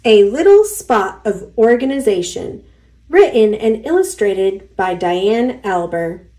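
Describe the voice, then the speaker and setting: A woman reading a children's picture book aloud with careful, expressive delivery for young listeners. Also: medium pitch, slow, poor audio. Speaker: storybook reader.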